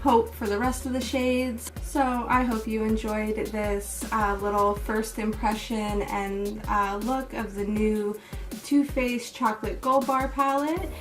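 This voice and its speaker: Woman, beauty vlogger, slightly hoarse, fairly high voice, relaxed step-by-step talk, lots of fillers, mic set back, music playing. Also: slow, recorded from a distance. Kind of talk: casual makeup tutorial and review.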